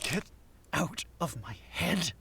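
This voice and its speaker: An actor playing an agitated, reluctant anthropology professor in an audio drama, husky and halting. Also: male voice, on the high side, expressive, background noise, full character voice. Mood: distressed, muttering. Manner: tense, halting, defiant.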